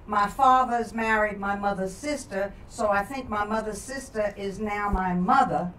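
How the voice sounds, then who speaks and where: A woman playing a polite, matter-of-fact teenage boy, earnest and halting, as in a story reading. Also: medium pitch, a bit bright, close to an everyday voice. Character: polite, matter-of-fact teenage schoolboy explaining his tangled family. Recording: roomy echo, distant mic, background noise.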